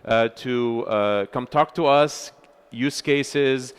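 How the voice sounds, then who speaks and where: Smooth-voiced man presenting at a conference. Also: medium pitch, slow pace. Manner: loud voice, many filler sounds and restarts. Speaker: center chair giving a talk. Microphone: handheld or stage microphone.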